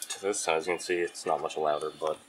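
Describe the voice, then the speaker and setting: A man's voice: a bass voice, fairly even intonation, fast talker, very noisy. A young man casually narrating a DIY electronics project, with dry, understated humor. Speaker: DIY electronics video maker.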